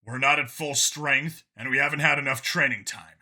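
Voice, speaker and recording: A principled commander of a police team, played by a male narrator, speaks with measured decisiveness. The voice is middling in pitch and very bright and forceful, in storybook dialogue. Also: fairly even intonation. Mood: firm, serious. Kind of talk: audiobook character dialogue.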